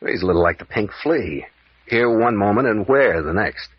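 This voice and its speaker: An American actor playing a bold, sardonic adventurer captain in an old-time radio serial, with needling, probing delivery. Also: male voice, lowish voice, expressive, moderate pace, breathy edge. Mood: guarded, wry. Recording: muffled, echoey vintage radio recording.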